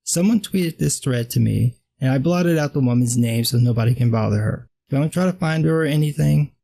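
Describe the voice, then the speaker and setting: A man's voice: medium pitch, a little fast, vocal fry, dark tone. A man with a dry voice delivering a sarcastic, blunt scripted film commentary. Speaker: film critic vlogger.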